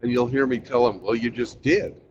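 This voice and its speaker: A man's voice: medium pitch, steady tone, fairly quick, a little mellow. A man hosting a casual conversation who speaks bluntly. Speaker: host. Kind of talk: casual chat. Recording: dull lo-fi recording with clear background noise.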